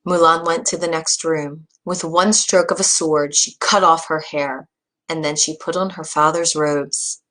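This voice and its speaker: A woman's voice: low-pitched, steady tone, measured pace, a bit bright. Woman narrating a children's story.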